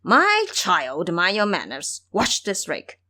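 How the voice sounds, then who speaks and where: A woman's voice: fairly low pitch, huge pitch swings, slow, sharp tone, exaggerated voice. A woman voicing a foolish male pig-demon monk in an audio drama, blustering and boastful, pleading when scared. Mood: boastful taunting.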